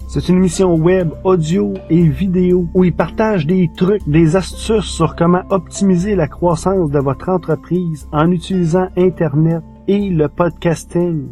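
A man's voice: sits high, fairly even intonation, measured pace, few pauses, mellow. A man with a Quebec French accent giving an enthusiastic, informal podcast review from his notes. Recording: music behind the voice. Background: music.

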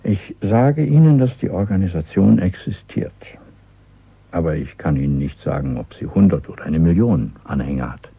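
A male actor in a German radio play playing a stern, controlled conspirator and official who questions and instructs in a measured, formal, commanding way, with a husky, gravelly voice. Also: low-pitched, animated, no room sound, heavily acted. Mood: grave, instructing. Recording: muffled, narrow-band dry radio recording.

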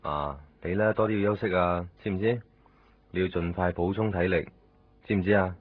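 A man playing a calm, matter-of-fact hospital doctor in a Hong Kong Cantonese radio drama from the 1990s. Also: sits low, brisk, breathy edge. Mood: calm, brisk. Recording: muffled, phone-like 1990s radio recording.

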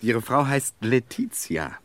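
A man's voice: medium pitch, animated, slow pace, fairly bright tone, dry recording. An actor playing an intense, courteous young man who questions urgently, in a German radio drama. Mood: probing, urgent. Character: intense young man confronting his possible future selves.

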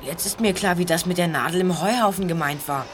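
Woman playing a lowish-voiced, inquisitive boy detective. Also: fast talker, soft background music, natural voice. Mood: questioning. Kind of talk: German audio drama acting.

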